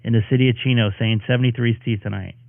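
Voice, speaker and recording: A man's voice: mid-range voice, fairly even intonation, rapid-fire, gravelly, no room sound. A man with a fraying voice talks over a thin, tinny radio channel, and the sound is clean and dry. He rambles on with lots of fillers while chatting casually on the air as a ham radio host. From Southern California, USA. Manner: rambling, filler-heavy, friendly sign-off talk. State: voice starting to go.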